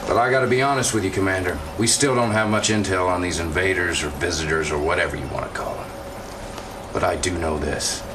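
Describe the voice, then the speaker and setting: An actor playing a disillusioned military intelligence officer in a video game cutscene, talking in a blunt, slangy, confiding way. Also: male voice, deep voice, fairly even intonation, brisk, recorded from a distance, noisy background, lightly acted. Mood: troubled, frank. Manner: casual, slangy, confiding delivery.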